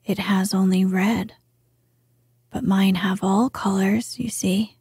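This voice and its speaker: A woman voicing a gentle, wise old grandmother in a soft whisper, reading a storybook. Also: mid-range voice, steady tone, takes it slowly, slightly rough, no room sound, clean studio sound, full character voice. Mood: calm, tender.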